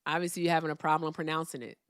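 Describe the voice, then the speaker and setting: A woman's voice: low voice, fairly even intonation, racing, clear, resonant tone, dry recording, studio quality. A woman hosting a live call-in debate stream, blunt and mocking as she talks over her caller. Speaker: host.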